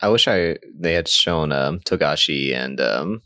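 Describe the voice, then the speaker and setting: A man's voice: very deep voice, expressive, a little slow, sharp tone, no echo, studio quality. A man co-hosting a podcast, chatting casually and bluntly, with slang and casual swearing. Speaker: podcast co-host. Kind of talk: casual chat.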